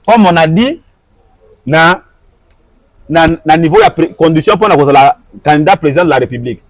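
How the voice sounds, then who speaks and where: A man's voice: a little high, moderate pace, dry recording. A man answering an interviewer, arguing his point with repeated rhetorical questions and switching between French and Lingala. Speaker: guest.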